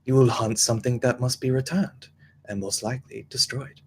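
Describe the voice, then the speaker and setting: A man's voice: mid-range voice, steady tone, normal speed, darkish tone, slightly rough. A man playing a sly, sinister schemer with formal wording in a dramatic screenplay table read. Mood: grave. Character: sly, smooth-talking sinister male schemer.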